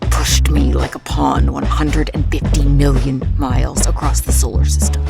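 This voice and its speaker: An actress playing a world-weary exiled ex-cop in noir audio drama narration, brooding, wry and terse. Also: female voice, sing-song, moderate pace, creaky, full character voice. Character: world-weary exiled woman, ex-cop and ex-boxer, hard-boiled. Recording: loud music and engine rumble behind.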